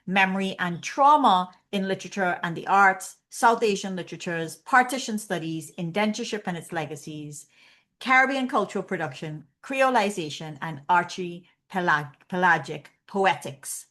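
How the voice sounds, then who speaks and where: A woman hosting an online academic seminar, reading a guest's bio in a measured way and handing over to the speaker. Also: on the low side, lively intonation, fairly bright tone. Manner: measured, reads bio lists. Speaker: seminar host. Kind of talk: formal introduction. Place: online webinar.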